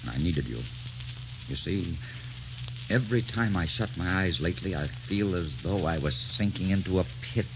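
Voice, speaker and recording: An actor playing a tormented, adoring poet husband in an impassioned, melodramatic 1940s radio romance. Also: male voice, sits low, slight background noise. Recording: muffled 1940s radio broadcast, music behind.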